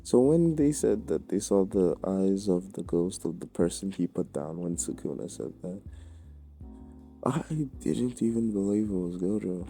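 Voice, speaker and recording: A man's voice: low-pitched, expressive, measured pace, gravelly, warm tone, faint music behind. A man giving a casual, sweary opinion rant as a video creator, slangy and exasperated in his delivery. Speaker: anime commentary video creator.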